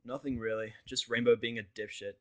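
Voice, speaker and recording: A man's voice: medium pitch, steady tone, brisk, fairly bright tone, lightly acted. A man voicing a moody, stubborn, sarcastic human man in a fan fiction audio story, with curt delivery. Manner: curt, sardonic, swearing.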